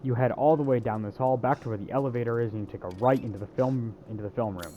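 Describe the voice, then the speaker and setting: A man's voice: mid-range voice, fast talker, mellow, dull audio, slight background noise. A man giving a casual video game walkthrough in an offhand way, with wry asides. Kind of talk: casual gameplay walkthrough commentary.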